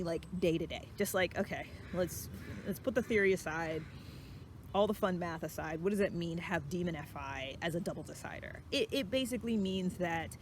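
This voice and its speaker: Vlog host, mid-pitched voice, conversational and self-reflective, thinking aloud over clearly noisy vehicle-like ambience. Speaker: channel host. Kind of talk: casual reflective solo vlog about personality typing.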